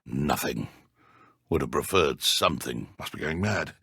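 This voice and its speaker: An actor playing a bored dark wizard sentry in a video game, muttering and grumbling in a gravelly voice. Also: male voice, animated, unhurried, no room sound, clean studio sound, heavily acted. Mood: puzzled, resigned. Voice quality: very deep, gravelly. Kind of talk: video game patrol barks.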